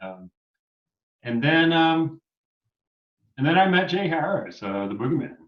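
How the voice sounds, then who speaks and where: A man's voice: fairly high pitch, sing-song. Man on a dull, roomy line. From New York. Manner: encouraging, chuckling, halting recall with many fillers. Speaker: guest musician. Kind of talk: casual interview chat. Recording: dull, roomy remote audio.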